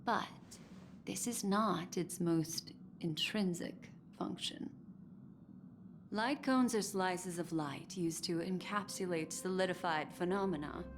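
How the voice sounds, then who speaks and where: A woman's voice: fairly low pitch, takes it slowly, slight background noise. An actress voicing an enigmatic, teasing and seductive woman in a video game, poised and coy with lingering, theatrical phrasing. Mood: smooth, explaining. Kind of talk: scripted video game character dialogue. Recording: clean voice over game music.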